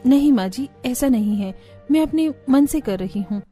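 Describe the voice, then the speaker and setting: A woman's voice: sits high, animated, normal speed, mellow, soft background music. A woman voicing a hardworking, feisty young daughter-in-law in a village cartoon. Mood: earnest.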